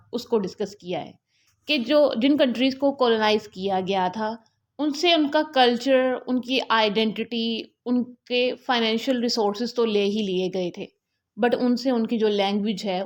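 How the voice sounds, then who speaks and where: Woman, literature lecture, code-switching Hindi and English, many fillers, slows down to quote lines. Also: on the high side. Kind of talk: lecture explaining a poem.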